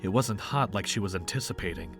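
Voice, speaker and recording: A man's voice: low-pitched, animated, fairly quick, over music. A man reading scripted third-person narration as the storyteller of an audio drama.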